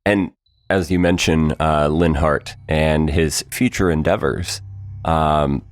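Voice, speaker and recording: A man co-hosting a casual podcast chat, asking questions and adding quick joking asides. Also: very deep voice, steady tone, dry recording. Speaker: podcast co-host. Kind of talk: casual behind-the-scenes chat.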